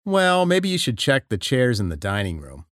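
A man performs scripted everyday conversations for a listening exercise. His mid-pitched voice moves around a lot in melody, and his delivery is plain and conversational. The recording is close and dry. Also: wildly swooping pitch, normal speed, a bit bright, no room sound. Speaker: listening test voice actor. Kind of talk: scripted dialogue reading.

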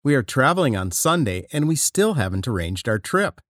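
A man's voice: mid-range voice, sing-song, fairly quick, a bit bright, no room sound, clean studio sound. A man reading his part in scripted dialogues for a listening test, in a plain conversational way.

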